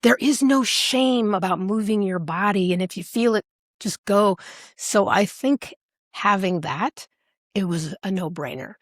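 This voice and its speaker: A woman with an American accent, speaking casually as an interview guest, animated and playful as she tells her stories. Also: mid-range voice, measured pace, dry recording, studio quality. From Alaska, United States. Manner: animated, playful, acts out remembered remarks. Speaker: singer, podcast guest.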